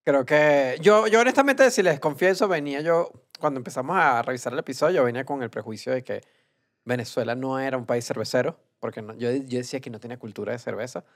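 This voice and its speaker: A fast-talking podcast co-host with a mid-range voice. They talk quickly and agree readily in a relaxed chat. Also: medium pitch. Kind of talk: casual chat.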